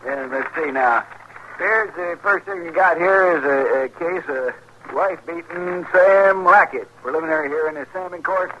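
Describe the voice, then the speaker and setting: An actor playing a crusty, irritable frontier circuit judge, folksy and blustering, with an American accent in 1950s radio western style. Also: male voice, fairly high pitch, moderate pace, dull audio, lightly acted. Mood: gruff, impatient. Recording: noisy old radio drama recording.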